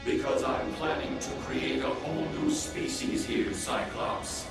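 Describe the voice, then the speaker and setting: A man's voice: a little high, big pitch swings, measured pace. A man playing a sentient supercomputer villain in a comic-book drama, speaking formally and condescendingly in grandiose monologue. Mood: grandiose, lecturing. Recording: heavily echoing, distant, loud music behind.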